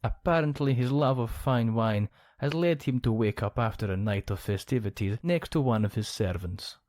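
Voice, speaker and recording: A man's voice: medium pitch, moderate pace, darkish tone, dry recording, natural voice. A man playing a suave, gossipy, scheming count in an ASMR roleplay, speaking in a genteel, theatrical manner. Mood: amused, gossipy. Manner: genteel, theatrical, arch phrasing.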